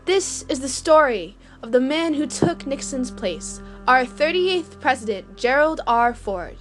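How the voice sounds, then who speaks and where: High-voiced woman narrating a story. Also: animated, unhurried, faint music behind.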